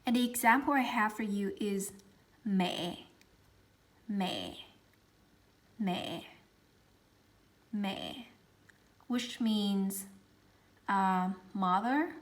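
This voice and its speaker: A young woman with a Southern Vietnamese accent teaches pronunciation at an unhurried, very slow pace. She repeats every sound several times, gentle and careful, in a middle-pitched voice. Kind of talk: slow, patient pronunciation lesson.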